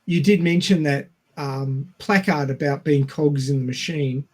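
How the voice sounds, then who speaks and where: A man's voice: a little high, fairly even intonation, a little slow, a little mellow. A man co-hosting a casual film discussion, explaining his points and posing rhetorical questions. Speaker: podcast co-host.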